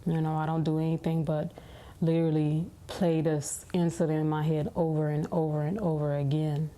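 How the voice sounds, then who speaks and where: A woman giving halting, emotional interview testimony as a corrections officer. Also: sits low, little pitch movement, warm tone. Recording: faint background noise.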